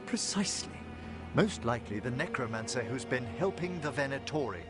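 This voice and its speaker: A man acts out a learned, courtly necromancer for a video game. His phrasing is eloquent and careful, with a mid-pitched voice that rises and falls freely. Music plays behind him. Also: animated, fairly quick, natural voice. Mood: composed, fascinated. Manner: formal, eloquent, careful wording. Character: refined, earnest scholarly male necromancer professor. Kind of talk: video game dialogue acting.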